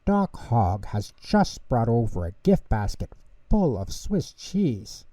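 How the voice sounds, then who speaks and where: A man's voice: medium pitch, big pitch swings, takes it slowly, dark tone. A man voicing a kindly big male bear in a storybook, helpful and reassuring, recorded in an echoey room. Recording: echoey room, distant mic.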